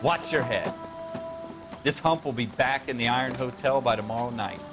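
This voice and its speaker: An actor playing a streetwise, wisecracking American patrol cop in a detective game. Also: male voice, mid-range voice, expressive, normal speed, natural voice. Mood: sardonic. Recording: music under voice.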